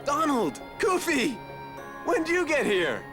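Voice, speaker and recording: Good-natured young hero, slow-paced, high earnest voice, soft music behind, video game. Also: male voice, huge pitch swings, full character voice. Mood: delighted. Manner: sincere, wholesome.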